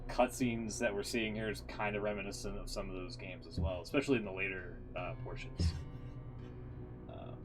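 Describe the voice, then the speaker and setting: A man streaming, with a fairly low voice and music clearly behind him. His commentary is casual and rambling, with easy swearing. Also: rough recording. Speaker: live streamer.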